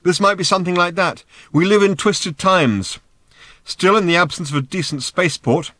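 A man voicing a brash, excitable male space traveller in an audiobook, speaking loudly and emphatically. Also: high-pitched, quick pace, no room sound, voice pushed up. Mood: shouting. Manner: loud, emphatic.

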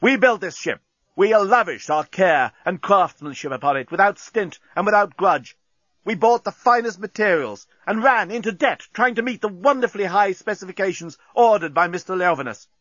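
A man voicing a proud, gallant, indignant alien commander in a loud, declamatory audiobook character voice. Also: sits high, animated, moderate pace, dry recording, muffled recording, pitched above the performer's own voice. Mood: indignant, defiant. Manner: loud, declamatory, impassioned speechifying.

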